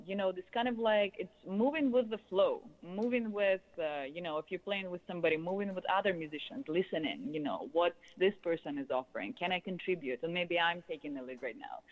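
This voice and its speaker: A woman in her thirties with a Russian accent, answering interview questions in loose, flowing, expressive phrases peppered with "you know". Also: on the low side, rough recording. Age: 30s. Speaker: guest, sound healer and musician.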